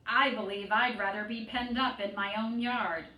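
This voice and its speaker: A timid young pig, as a woman puts him on for a storybook reading, hesitant and unsure of himself. The voice carries a bright edge and sits back from the mic in an echoey room. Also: fairly high pitch, steady tone, moderate pace.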